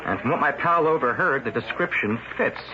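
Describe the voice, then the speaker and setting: An actor playing an eager, stubborn young newspaper reporter in an old-time radio drama, insistent and full of questions. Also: male voice, medium pitch, animated, a little fast, crowd noise, close to an everyday voice. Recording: noisy, muffled old radio broadcast.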